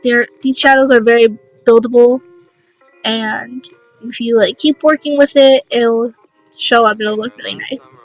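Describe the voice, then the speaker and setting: A young woman with a raspy voice, casually narrating a makeup tutorial in a rambling, unsure, self-deprecating way. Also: high-pitched, dry recording, low-quality recording.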